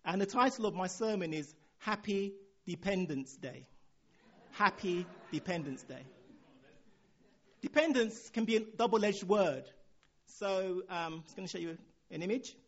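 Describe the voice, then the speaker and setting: A man from Nigeria with a Nigerian accent, preaching a sermon in a relaxed way, chuckling now and then. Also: sits high, unhurried, dull audio.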